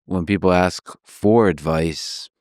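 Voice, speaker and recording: Male podcast host, low voice, slow and measured, dry close mic. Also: a little mellow, no echo. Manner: slow, measured, reads a long post aloud. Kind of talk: long-form interview.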